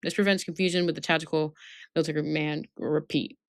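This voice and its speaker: A woman's voice: low-pitched, steady tone, fast talker, dry recording, clean studio sound. A woman instructor giving an informal training lesson, reading out points and explaining them, often checking that listeners follow. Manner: instructive, checks understanding with tag questions.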